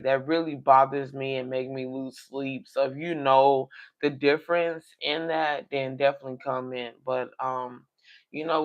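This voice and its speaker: Man, mid-pitched, a touch raspy today, flat monotone delivery, rambling livestream host talking straight to viewers, dull lo-fi audio. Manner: rambling, talks directly to viewers. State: slightly raspy voice. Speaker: coin collecting livestream host. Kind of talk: casual livestream chat.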